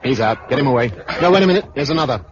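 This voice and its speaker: An actor with a British accent playing a brisk, calculating leader of an ambush in a 1950s radio drama. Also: male voice, mid-range voice, animated, lightly acted. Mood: brisk, commanding. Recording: muffled 1950s radio recording, clear noise.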